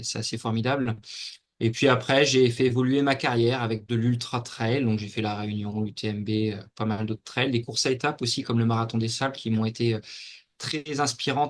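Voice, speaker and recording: Interview guest, man in his forties from Normandy, even flat delivery, steady and detailed. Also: medium pitch. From Normandy, France. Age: 40s. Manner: steady, detailed account with frequent fillers. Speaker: guest, athlete and mental coach. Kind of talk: casual interview talk.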